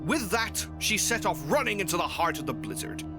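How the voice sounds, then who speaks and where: A man's voice: sits high, big pitch swings, a little fast. A man reading scripted story narration as the narrator of a fan fiction tale. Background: music.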